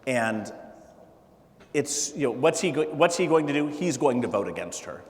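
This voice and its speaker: A man speaking as a political candidate at a town hall, halting and full of fillers and restarts. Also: mid-range voice, very animated. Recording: echoey hall with faint noise.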